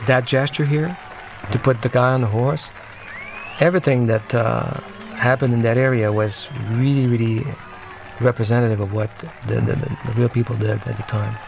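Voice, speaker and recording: A man giving unscripted commentary on his own film, talking in a conversational, reflective and slightly halting way. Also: mid-range voice, fairly even intonation, gravelly, darkish tone. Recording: film soundtrack music playing behind. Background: film soundtrack music.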